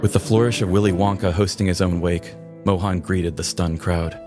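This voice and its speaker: A man's voice: sits low, fairly even intonation, moderate pace. A man narrating a scripted comedic story. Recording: music bed under the voice.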